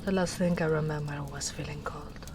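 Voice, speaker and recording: A woman voicing a gentle, protective big female ogre in a tender, soft-spoken ASMR roleplay, often slipping into whispers. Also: deep voice, steady tone, moderate pace, noisy background, close to an everyday voice. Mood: somber.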